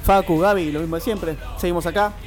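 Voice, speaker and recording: A man with a Rioplatense Spanish accent hosting a casual radio chat, quick and laughing as he wraps up the show. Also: high voice, steady tone, soft background music. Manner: quick, laughing, upbeat wrap-up. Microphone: studio microphone.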